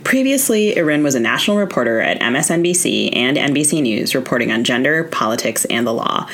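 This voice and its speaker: Female podcast host, American, notably low voice, introduces and interviews guests. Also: animated, brisk, fairly bright tone, slight background noise. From United States, Maryland.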